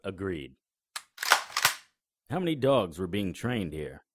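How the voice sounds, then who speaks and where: An actor playing a wisecracking, unflappable paranormal investigator in an audio drama, with a dry, sarcastic delivery. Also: male voice, low-pitched, big pitch swings, slow, a little mellow, no echo, exaggerated voice. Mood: wry, alert. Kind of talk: audio drama dialogue and first-person noir narration.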